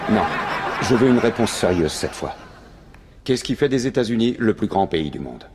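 A man's voice: on the low side, expressive, moderate pace, noisy background, natural voice. An actor playing a firm, probing debate moderator who presses his panelists, in a dubbed TV drama. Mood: firm, insistent.

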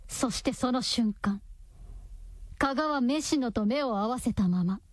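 A woman's voice: fairly high pitch, a little slow, no room sound. A woman delivering dramatic, scripted narration for a radio drama.

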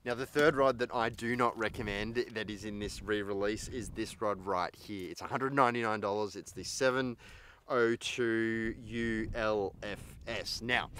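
A man's voice: fairly low pitch, normal speed, fairly bright tone, slight background noise. A man in his thirties giving a casual, blunt and opinionated product review to camera as a vlog host. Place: outdoors on a parked boat in a grassy yard.

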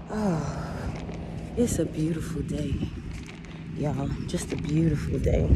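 A woman, chatting casually and rambling straight to her viewers as she walks along a beach. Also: sits low. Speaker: vlogger. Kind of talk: casual walking vlog. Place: outdoors on a windy beach. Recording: loud wind and wave noise. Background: wind and surf.

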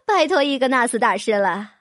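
Lady doing a fussy rich mom, high swoopy voice, sucking up. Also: expressive, fairly quick, a bit bright, no echo, studio quality. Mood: fawning, gushing. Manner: gushing, exclamatory, wheedling. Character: fussy fawning rich noblewoman mother.

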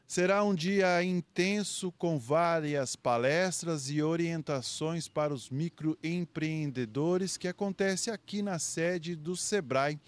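A male news reporter with a slightly high voice. He narrates in a measured, deliberate way, like a local television report. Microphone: handheld microphone.

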